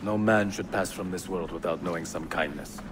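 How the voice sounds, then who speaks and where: Low, stoic young assassin played by a man. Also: steady tone, moderate pace, background noise, lightly acted. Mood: solemn. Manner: solemn, controlled, terse. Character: stoic, principled young male assassin warrior. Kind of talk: dramatic video game cutscene acting.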